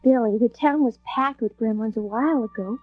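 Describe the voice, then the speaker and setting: Woman acting high-voiced, anxious young heroine. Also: brisk, no echo, narrow, tinny audio, faint music behind, close to an everyday voice. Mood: urgent. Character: alarmed, questioning young woman, plucky girlfriend. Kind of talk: read-along storybook drama acting.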